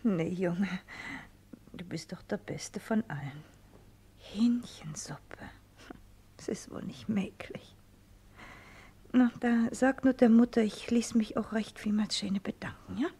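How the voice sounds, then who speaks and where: An actress playing a sick, fretful wife lying gravely ill in bed in a radio drama, plaintive, with a weak and breathy voice. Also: female voice, medium pitch, takes it slowly, pauses often, dark tone, heavily acted. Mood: weak, tender. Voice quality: weak, breathy, half-whispered. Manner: plaintive, querulous. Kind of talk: 1960s German radio drama acting. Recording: noisy old radio play recording.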